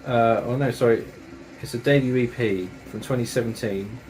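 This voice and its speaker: A man talks through his metal tapes and records at a mid pitch, keen and chatty, sprinkling in slang and the odd swear word. Faint music plays behind him. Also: normal speed, a little mellow, poor audio. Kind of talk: casual review of records and tapes.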